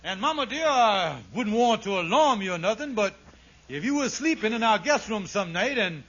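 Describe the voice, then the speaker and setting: A man's voice: sits high, lively intonation, normal speed, fairly bright tone. A man playing a wisecracking married schemer in a 1940s radio sitcom, with a folksy, exaggerated comic dialect. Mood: teasing. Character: scheming, wisecracking married man, put-upon apartment host. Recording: dull, hissy old radio recording.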